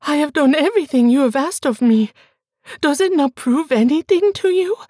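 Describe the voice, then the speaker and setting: A woman voicing a frightened young woman who pleads in a high, strained, tearful voice. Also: lively intonation, normal speed, warm tone, no echo, clean studio sound. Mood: pleading.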